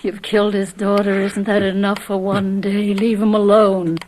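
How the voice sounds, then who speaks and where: A woman's voice: mid-range voice, monotone, moderate pace, darkish tone, natural voice, low-quality recording. An actress playing a grieving, protective young mother in a radio drama. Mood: bitter, accusing. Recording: old radio recording with faint noise.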